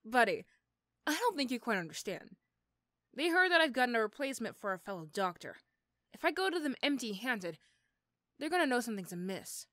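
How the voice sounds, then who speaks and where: A woman's voice: on the high side, big pitch swings, brisk, long pauses, a bit bright, no echo. A woman playing a sardonic female supervillain. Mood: menacing. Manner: dry, teasing, condescending. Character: sardonic female supervillain, mean but grudgingly sympathetic. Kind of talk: ASMR roleplay character acting.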